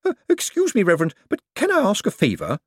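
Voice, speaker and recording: A man voicing a nervous, genteel middle-aged woman who stammers eagerly in a high, fluttery voice. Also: wildly swooping pitch, fast, breathy edge, no echo, studio quality, heavily acted. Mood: anxious. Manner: fluttery, hesitant, high and breathless.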